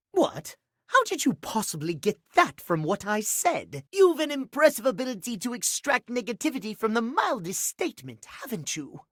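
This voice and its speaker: A prim and genteel game character, a fussy clock gentleman with a high, expressive voice. His diction is formal and precise, unhurried and full of swooping emphasis. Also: no room sound, clean studio sound. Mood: offended, prim. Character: fussy, genteel, punctual clock gentleman doting on pocket watches. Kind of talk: video game character acting.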